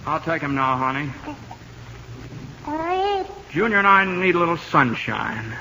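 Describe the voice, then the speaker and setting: An actor playing an embittered husband with an American accent and a halting, folksy manner in a 1950s radio drama. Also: male voice, on the high side, expressive, slight background noise, exaggerated voice. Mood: gently determined. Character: embittered husband and father who lost a leg. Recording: dull 1950 radio broadcast, loud music.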